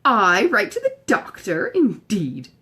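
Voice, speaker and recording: A woman voicing a silly, chattering young gentlewoman in an audiobook, gushing and exclamatory. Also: medium pitch, wildly swooping pitch, slow, slightly rough, heavily acted. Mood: indignant, giggly. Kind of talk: audiobook character dialogue.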